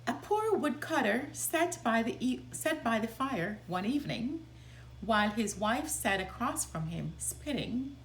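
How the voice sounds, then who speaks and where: A woman reading a children's story aloud as a storytime host, with expressive, deliberate delivery. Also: on the high side, a little hiss or hum, rough recording.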